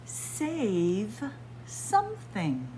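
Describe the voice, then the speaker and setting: A woman's voice: mid-range voice, wildly swooping pitch, extremely slow, mellow, a little hiss or hum. A middle-aged woman librarian reading a picture book aloud to children, word by word, with teacherly emphasis, recorded close on a webcam in a small library room. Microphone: webcam or phone close up at arm's length.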